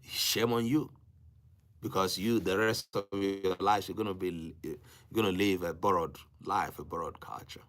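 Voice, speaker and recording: A man with a Nigerian accent and Igbo as his native language, speaking as a teacher exhorting live-stream viewers in an emphatic, preaching way with repeated phrases and deliberate pauses. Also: fairly low pitch, fairly bright tone. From Africa.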